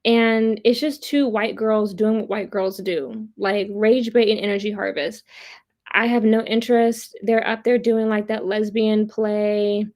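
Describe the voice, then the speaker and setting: A woman's voice: mid-range voice, fairly even intonation, measured pace, a little mellow. A woman hosting a casual livestream of commentary, chatting with viewers in a blunt, opinionated, profane way and repeating points for emphasis. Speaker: host of a pop culture commentary livestream. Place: live online stream.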